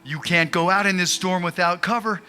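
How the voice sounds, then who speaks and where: A man playing an anxious, tender rural father in an old-time radio drama, speaking in a folksy, halting, urgent way. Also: high voice, bright, forceful tone, slight background noise. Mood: tender, worried.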